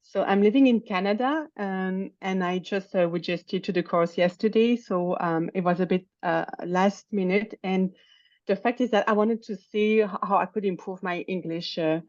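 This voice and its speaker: A woman's voice: mid-range voice, moderate pace, a little mellow, dry recording, lo-fi sound. A woman whose first language is French, talking hesitantly in an online English lesson and often searching for words. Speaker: English student. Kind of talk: conversation practice in an online lesson. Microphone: video call.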